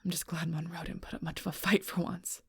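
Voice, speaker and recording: A woman acts out a wounded, fiercely protective she-werewolf in an audio roleplay. Her voice is husky and full of fry, captured very dry and close. She speaks straight to the listener with bitter, confrontational intensity. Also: fairly low pitch, little pitch movement, fast talker, fairly bright tone, dry recording, heavily acted. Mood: bitter, irritated. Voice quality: breathy, husky, rough-edged. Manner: intense, confrontational, swearing, often dropping to near-whisper.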